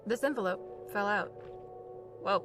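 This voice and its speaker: An actress playing a blunt, fussy young engineering student in an audio drama, speaking in an inquisitive, exasperated way. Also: female voice, medium pitch, unhurried, music in the background, natural voice. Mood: insistent. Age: young adult.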